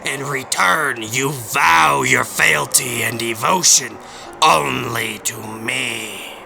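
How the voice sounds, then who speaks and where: Dark fantasy demon: heavy gravel and fry, very measured pace, ominous and commanding. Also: male voice, medium pitch, noisy background. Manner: slow, imperious, ominous delivery. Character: menacing male demon of judgment, commanding and seductive. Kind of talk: dark fantasy audio drama. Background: music.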